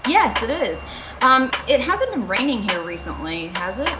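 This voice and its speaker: A woman's voice: a little high, very animated, moderate pace, background noise. A woman playing an uneasy, haunted motorist driving alone, telling her story dramatically in an amateur radio thriller. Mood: guarded, polite. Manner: dramatic first-person storytelling. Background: music.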